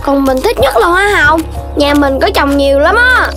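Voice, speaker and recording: An extremely high, childlike cartoon puppy boy, excitable and expressive. He is a little bossy yet polite, with loud music under the voice. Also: moderate pace. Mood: cheerful, eager.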